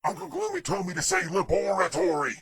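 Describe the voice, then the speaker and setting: A man's voice: high-pitched, wildly swooping pitch, brisk, gravelly, fairly bright tone, pitched above the performer's own voice, full character voice. A man voicing a big werewolf with the mind of a child, who gushes breathlessly and repeats himself. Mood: excited.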